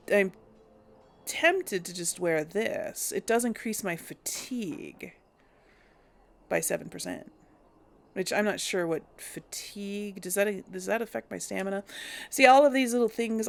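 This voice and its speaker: A woman commentating on her own gameplay in a casual way, mulling things over aloud and often restarting her sentences. She talks slowly, with a gravelly fry in her voice. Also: mid-range voice. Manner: thinking aloud, hesitant. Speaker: let's play host.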